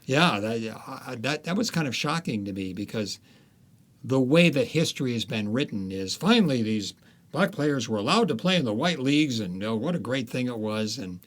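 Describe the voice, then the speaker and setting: A man, an author, talking through his ideas in a casual interview, thoughtful and halting, with frequent restarts. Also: medium pitch, big pitch swings.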